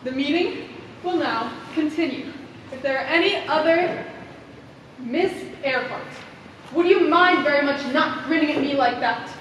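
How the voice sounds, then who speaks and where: A woman's voice: sits high, measured pace, fairly bright tone. Woman acting strict bossy chairlady in a play, lots of echo and noise. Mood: formal. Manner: formal, imperious. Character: stern, formal chairwoman of a ward meeting. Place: theater stage, far from the mic. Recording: echoey noisy hall from far off.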